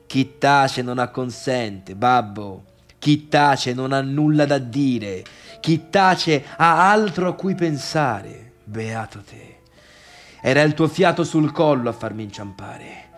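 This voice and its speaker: A man's voice: mid-range voice, slow pace, soft background music, lightly acted. A man playing a bitter adult son in a theatrical monologue, intense and reproachful, at times sinking to a near-murmur. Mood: bitter, wounded. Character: tired, bitter adult son confronting his overbearing father.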